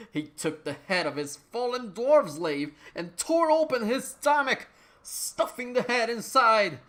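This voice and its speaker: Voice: medium pitch, sharp tone. A performer giving a mock-dramatic, theatrical comedic reading of a story, sometimes tripping over words and repeating them. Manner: theatrical, stumbles and rereads phrases. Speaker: reader of a fan fiction.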